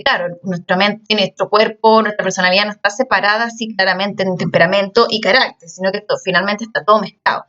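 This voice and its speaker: A woman's voice: fairly high pitch, fairly bright tone, far from the mic, highs cut off. A woman teaching a class, explaining step by step and checking in often with her students. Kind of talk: recorded class lecture.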